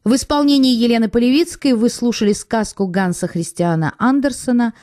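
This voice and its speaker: A woman's voice: on the high side, a little fast, darkish tone. A woman radio announcer giving a formal scripted announcement. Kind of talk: formal closing credit announcement.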